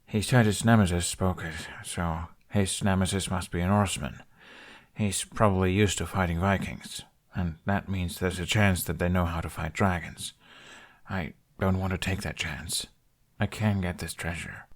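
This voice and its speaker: A man's voice: low voice, fairly even intonation, moderate pace, close to an everyday voice. Dejected, wry young man, read by a narrator. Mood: detached. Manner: mumbling, sarcastic, downcast. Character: self-deprecating young human male, dejected and wry.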